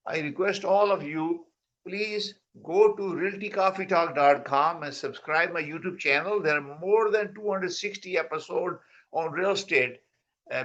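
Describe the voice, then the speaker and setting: A man hosting an online real estate conference over a video call, talking in a halting way with repeated words. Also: a little high, fairly bright tone, dull audio. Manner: halting, repeats words, non-native phrasing. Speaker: host and founder of the conference. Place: Mississauga near Toronto.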